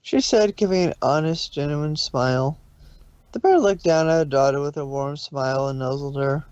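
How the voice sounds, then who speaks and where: Woman narrator, medium pitch, expressive story reading, close dry sound, slightly dull audio. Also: normal speed, no echo. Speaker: fan fiction narrator. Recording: slightly dull home recording.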